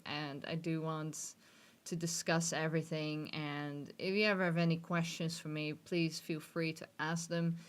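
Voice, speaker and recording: A woman in her thirties talking openly and earnestly to the camera in a personal vlog, seated in a gaming chair in a dim room, with self-deprecating laughs and false starts. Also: lowish voice. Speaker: vlogger.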